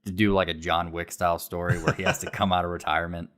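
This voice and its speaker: A man's voice: sits low, little pitch movement, fast, fairly bright tone. A man co-hosting a podcast, chatting casually with wry, joking remarks. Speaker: podcast co-host. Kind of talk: casual comedic banter.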